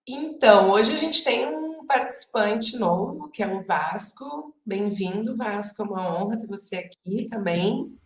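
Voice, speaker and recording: A Brazilian woman hosting an online workshop on a video call, chatty and friendly, often pausing with fillers. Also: medium pitch, animated. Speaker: workshop host and artist. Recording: echoey room.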